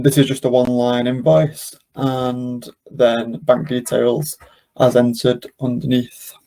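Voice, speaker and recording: Mellow-voiced man giving a software walkthrough. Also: medium pitch, low-quality recording. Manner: casual, step-by-step explaining. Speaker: webinar host and software demonstrator.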